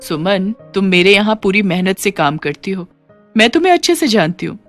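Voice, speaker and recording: A woman voicing a kind, considerate middle-class employer of a maid in an animated story, informal and instructing. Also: medium pitch, a little fast, soft background music, lightly acted. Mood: kind, reassuring.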